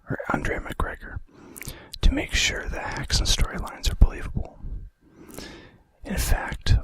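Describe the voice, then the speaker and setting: A man whispering breathily and gently into a close microphone as an ASMR host, reading out facts with casual, hesitant asides. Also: fairly high pitch, husky, no room sound, rough recording.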